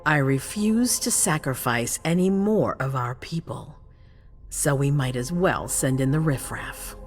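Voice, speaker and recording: A woman's voice: very deep voice, expressive, unhurried, soft background music, heavily acted. An actress playing a cold, ruthless female vampire leader in a video game, speaking with imperious authority. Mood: cold, commanding. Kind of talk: dramatic video game acting.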